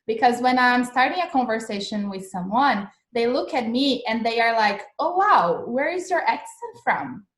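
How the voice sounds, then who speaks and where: Woman, young, Brazilian accent, upbeat English teacher, a little high-pitched, roomy echo on a video call. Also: animated, normal speed, hardly any pauses, a bit bright. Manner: chatty and encouraging, with frequent "okay?" checks. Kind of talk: livestreamed English lesson. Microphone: video call recording.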